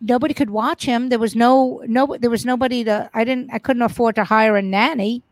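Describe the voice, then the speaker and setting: A woman's voice: fairly high pitch, steady tone, brisk, dry recording. A middle-aged woman comedian telling stories from her life in a casual interview, frank and profane, often restarting her sentences. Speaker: comedian guest.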